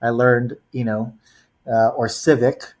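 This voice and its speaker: A man in his forties tells long stories as a podcast guest. He talks in a loose, wandering way, full of "you know" and "um", at an ordinary mid pitch. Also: little pitch movement, slow. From born in the Philippines, raised in Los Angeles. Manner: rambling storyteller, heavy on "you know" and "um". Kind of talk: casual podcast interview.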